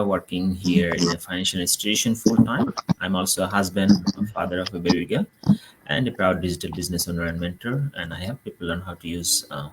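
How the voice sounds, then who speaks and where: A man's voice: low voice, background noise. A man with a Bangladeshi accent, hosting a live online talk and explaining things to his audience. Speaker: host of an online business show.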